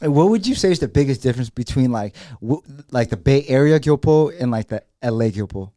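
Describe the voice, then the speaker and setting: A man's voice: mid-range voice, animated, a little fast, dark tone, no echo. Man, young, Los Angeles accent, slangy podcast host, dry close sound. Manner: slangy, swears freely, laughs often. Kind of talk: casual chat.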